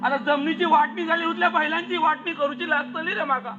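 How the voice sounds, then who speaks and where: Under loud music in a dull recording, a male actor plays a village elder with a very high voice and a Malvani accent. He pleads and admonishes in emphatic, repeated phrases, in theatrical one-act play style. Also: steady tone, fairly quick. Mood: admonishing, sorrowful. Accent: Malvani Konkani.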